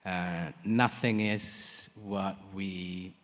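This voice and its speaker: A man who works as a lighting designer, answering audience questions in a halting way with many fillers and restarts. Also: deep voice, fairly even intonation. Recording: long hall reverb.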